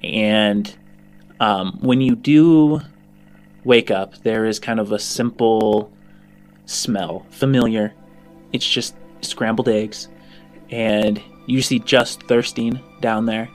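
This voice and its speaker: A man's voice: on the low side, steady tone, slow. Male game master over faint music. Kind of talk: narrating a tabletop game.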